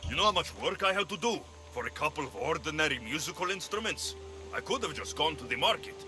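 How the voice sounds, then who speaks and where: A man's voice: on the high side, expressive, quick pace, over music, lightly acted. Male actor as game mercenary hero: hard, sardonic, blunt threats, over prominent score. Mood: wry. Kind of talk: video game cutscene acting.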